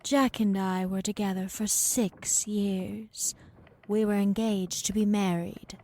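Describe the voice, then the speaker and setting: A woman playing a weary, confused and blunt character in an audio drama, halting and breathy. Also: mid-range voice, fairly even intonation, mellow. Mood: hesitant, sad. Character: confused, weary woman, blunt wine-drinking mother figure. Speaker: actor.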